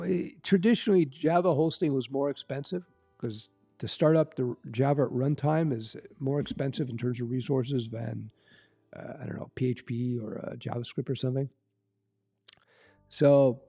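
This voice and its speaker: A man's voice: on the high side, creaky, warm tone. A middle-aged Canadian man hosting a casual livestream, answering chat questions in a rambling, conversational way. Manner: rambling, conversational, fillers and restarts. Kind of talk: casual live-stream chat.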